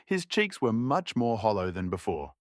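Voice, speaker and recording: A man's voice: on the low side, very animated, moderate pace, dry recording, studio quality. A man reading a scripted folk horror story as a narrator.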